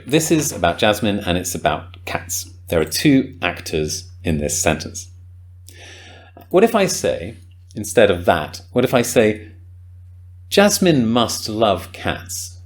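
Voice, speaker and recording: Man explaining grammar, slightly low voice. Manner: thoughtful, enthusiastic, many ums and likes. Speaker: podcast host and English teacher. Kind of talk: casual explanatory podcast talk.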